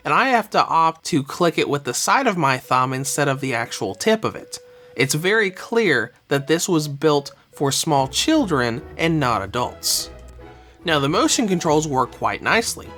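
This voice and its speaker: Guy reviewing a controller, kinda under the weather, pitch jumps all over, bit of music behind. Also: pushed and bright. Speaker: game reviewer. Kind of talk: scripted product review narration.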